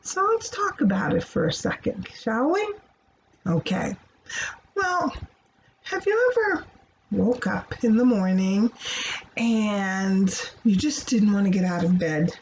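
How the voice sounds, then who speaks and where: A woman hosting a children's storytime, talking to young listeners in an animated, teacherly way with rhetorical questions. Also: mid-range voice, slow pace. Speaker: children's read-aloud storytime host. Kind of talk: child-directed talk about a story. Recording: dull, faintly noisy recording.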